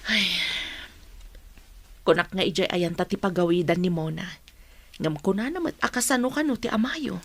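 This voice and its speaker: Casting: weary, devout mother in radio drama, breathy mid-range voice, earnest and wronged. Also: medium pitch, animated, normal speed, pauses often, dry recording. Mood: weary, firm. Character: aggrieved, devout, long-suffering mother of a sick daughter.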